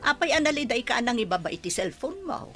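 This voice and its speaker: A woman's voice: on the high side, very animated, fast talker, over music. A woman playing a sympathetic, outspoken older matriarch in a radio drama, with an emphatic, lamenting delivery.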